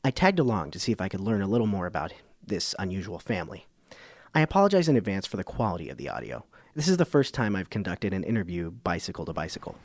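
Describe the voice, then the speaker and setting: A man's voice: sits low, very animated, quick pace, darkish tone, no echo, exaggerated voice. A man playing an inquisitive radio documentary host, narrating and interviewing with earnest, understated curiosity.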